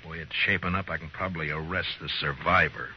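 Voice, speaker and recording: An American man playing a gruff, steady middle-aged frontier marshal in a 1950s Western radio drama, speaking tersely and with authority. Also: very deep voice, quick pace. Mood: urgent, dry. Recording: noisy, dull vintage 1950s radio recording.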